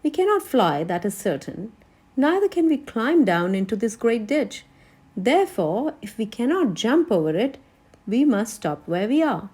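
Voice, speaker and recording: A woman's voice: a little high, big pitch swings, normal speed, a little mellow, slightly rough. A woman voicing a living male scarecrow from a storybook, practical, brave and matter-of-fact. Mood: reasoning.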